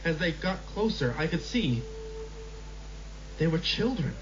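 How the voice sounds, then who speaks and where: A man's voice: fairly high pitch, very animated, a little hiss or hum. Man narrating a horror story. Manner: measured, slow in tense passages. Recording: slightly dull lo-fi recording with music.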